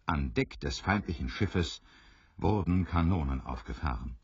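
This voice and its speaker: A man narrating an adventure radio play as a grave, expressive storyteller who builds suspense. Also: deep voice, unhurried, slightly rough, no echo, full character voice. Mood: dramatic. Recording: slightly dull, dry studio recording.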